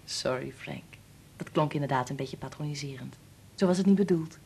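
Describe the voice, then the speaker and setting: An actress playing a warm, frank wife and mother in a Dutch radio play, talking in a soothing, confiding way. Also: sits low, brisk, creaky, slightly rough, heavily acted. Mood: soothing, affectionate.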